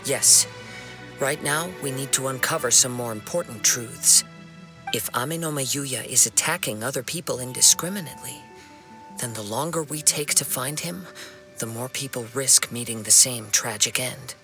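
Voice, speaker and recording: A man playing a calm, perceptive young wandering swordsman in a video game, speaking in a measured, formal and earnest way. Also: medium pitch, natural voice. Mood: grave, thoughtful. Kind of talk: video game cutscene acting. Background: game music.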